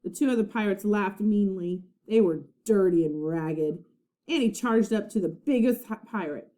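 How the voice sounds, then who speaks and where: A woman reading a children's storybook aloud like a teacher, with expressive, dramatic narration. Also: mid-range voice, normal speed.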